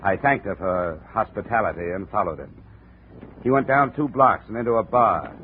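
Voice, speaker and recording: A tough insurance investigator from a 1950s radio drama, played by a man. His low voice is terse and matter-of-fact as he narrates and questions. The old recording is muffled and noisy. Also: animated, moderate pace, close to an everyday voice. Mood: dry, measured. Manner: clipped, hard-boiled, matter-of-fact.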